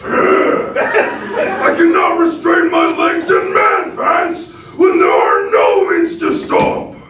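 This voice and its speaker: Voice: very high-pitched, wildly swooping pitch, takes it slowly, fairly bright tone, shouting, some chatter behind, exaggerated voice. A young stage performer playing a huge, angry, two-headed brute warrior who bellows and bickers in a reader's theatre show. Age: teens. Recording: distant stage mic in echoey noisy hall.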